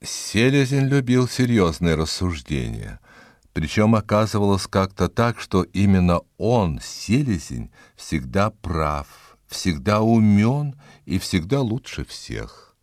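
A man narrating an animal tale as a theatrical, expressive storyteller, reading from a script. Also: low voice, takes it slowly, dry recording, studio quality.

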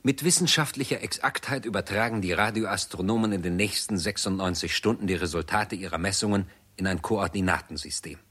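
An actor as the sober narrator of a 1960s science fiction radio play, husky and documentary in manner. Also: male voice, deep voice, brisk, hardly any pauses, a bit bright.

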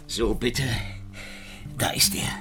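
An actor playing a weary, flustered police inspector in a radio drama, anxious and deferential. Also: male voice, slow, sharp tone, soft background music. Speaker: Inspector Ganimard.

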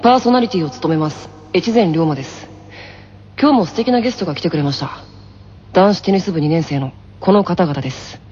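A woman voicing a composed junior-high tennis boy hosting a school broadcast in an anime radio drama. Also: lively intonation, a little fast, warm tone, lo-fi sound, a little hiss or hum, close to an everyday voice. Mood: composed, matter-of-fact. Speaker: broadcast host.

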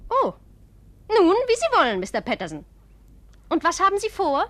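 A woman's voice: very high-pitched, huge pitch swings, normal speed, a bit bright, no echo, heavily acted. An actress in a German radio play voicing a flirtatious, restless married society lady with an effusive, coquettish manner. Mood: defiant.

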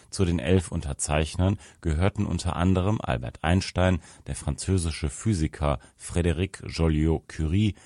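Very deep-voiced man reading formally. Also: darkish tone, no room sound, clean studio sound. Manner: measured, formal read-aloud delivery. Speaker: narrator reading a magazine article. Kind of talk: scripted narration.

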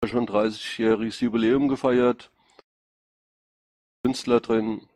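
A middle-aged man speaks with a Rhine-Hessian accent that mixes Hessian and Palatine. His pitch is average and his delivery is halting and colloquial, like a candidate talking off the cuff in an online voice chat. The sound is dry and close, without room echo. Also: fairly even intonation, a little mellow. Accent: Rhine-Hessian, mixing Hessian and Palatine. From Rhine-Hesse, Germany. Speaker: political candidate answering questions. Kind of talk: informal spontaneous self-presentation.

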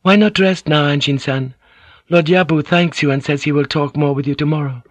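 A man voicing a gentle, proud young Japanese noblewoman, speaking softly and formally. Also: a little high, fairly even intonation, moderate pace, no echo, voice pushed up, natural voice. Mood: gentle, earnest. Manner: soft and formal.

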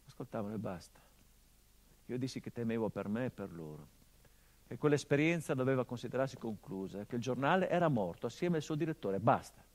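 A middle-aged actor playing a grieving son whose father was murdered, speaking a theatrical monologue in a measured, grave, introspective way. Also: medium pitch, expressive, darkish tone, natural voice.

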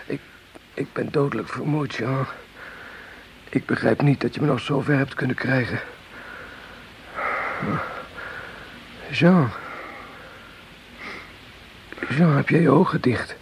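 Actor as wounded, exhausted young rebel, faint noise. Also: male voice, medium pitch, normal speed, vocal fry, close to an everyday voice. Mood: exhausted, anxious. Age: young adult. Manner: strained, broken phrases. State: in pain, exhausted. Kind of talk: Dutch radio play acting. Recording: noisy old radio play recording.

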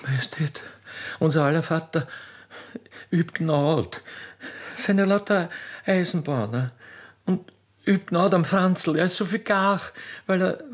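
A man's voice: on the high side, takes it slowly, long pauses, pitched above the performer's own voice. A man voicing a naive, devoted young peasant girl with Austrian dialect, pleading in a radio play.

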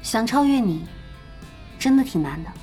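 A woman playing a composed, perceptive, competitive young gamer in a radio drama, calm and understated. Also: fairly high pitch, normal speed, lightly acted. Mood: challenging, thoughtful. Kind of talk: Chinese radio drama acting. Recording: music behind the voice.

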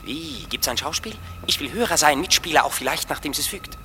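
An actor playing a mischievous male forest sprite in a radio play, murmuring quick and low. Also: lively intonation, heavy background noise, close to an everyday voice. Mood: sly. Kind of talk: German radio play acting.

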